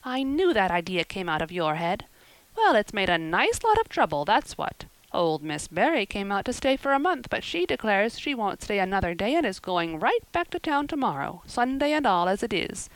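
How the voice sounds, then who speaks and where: A woman's voice: mid-range voice, big pitch swings, moderate pace, no echo, poor audio. A woman voicing a gossipy, self-assured older village woman who talks in a knowing, self-satisfied way. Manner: knowing, self-satisfied, repeats a pet phrase.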